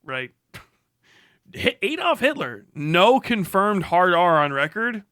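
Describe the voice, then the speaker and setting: A man with a notably high, bright voice, recorded dry and close. He streams sarcastic political commentary, swearing heavily and mocking in a combative way. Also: big pitch swings, slow, clear, resonant tone, dry recording. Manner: heavy swearing. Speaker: live streamer reacting to a video. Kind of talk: profane political rant commentary.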